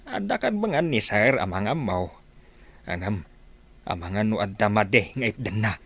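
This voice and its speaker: A man's voice: on the low side, big pitch swings, normal speed, vocal fry, a bit bright, husky, exaggerated voice. An actor playing a concerned, moralizing village father who gives earnest advice in an audio drama. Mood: cautioning. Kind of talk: Ilocano radio-style drama.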